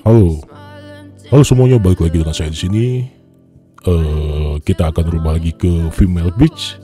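A man talking casually through a voice changer that lowers his voice into a deeper male voice. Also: moderate pace, dark tone, soft background music. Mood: testing. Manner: casual, chatty test talk. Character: deepened male voice, flat and dark. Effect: voice changer pitching the voice down.

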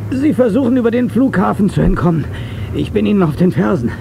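An actor playing a stubborn, wounded crime fighter in a radio play, defiant, strained and sardonic. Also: male voice, high-pitched, sing-song, quick pace, vocal fry, dark tone, slight background noise, heavily acted. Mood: strained, determined. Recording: cassette radio play with music.

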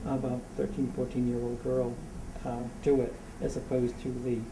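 A man in the audience of a book discussion, talking in halting phrases with many restarts. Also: mid-range voice, monotone. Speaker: audience member. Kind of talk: casual discussion. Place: community meeting room. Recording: echoey room from a distance, faint noise.

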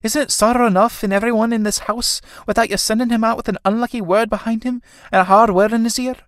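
A woman's voice: fairly high pitch, steady tone, fast talker, no echo, clean studio sound, pitched above the performer's own voice, close to an everyday voice. A woman playing an anxious, scolding young Irish elder sister in a stage drama, speaking in an earnest, urgent way. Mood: scolding, distressed.

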